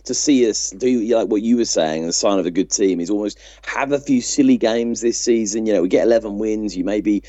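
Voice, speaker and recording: A man's voice: lowish voice, brisk, few pauses, dark tone, dry recording. A man co-hosting a sports podcast, casually giving his opinions, on slightly dull lo-fi audio. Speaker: podcast co-host.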